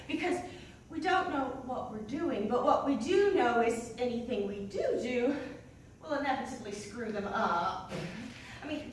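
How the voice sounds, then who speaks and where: A woman performing a comedic theatrical monologue, flustered and halting, often interrupting herself. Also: high-pitched, a bit bright, slight background noise. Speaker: solo stage performer. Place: indoor performance space before an audience. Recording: echoey stage hall, distant microphone.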